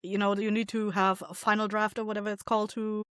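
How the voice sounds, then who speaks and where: A woman's voice: medium pitch, flat delivery, quick pace, fairly bright tone, smooth, no room sound, studio quality. A woman chatting casually as a podcast guest, plain-spoken, informal and encouraging. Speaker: podcast guest, audio drama maker. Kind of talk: casual chat.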